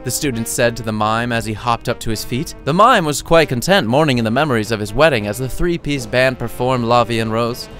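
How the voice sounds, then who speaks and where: A man's voice: mid-range voice, fairly quick, few pauses, fairly bright tone, faint music behind. A man reading his own short story as scripted audiobook narration, with a wry, playful storytelling manner.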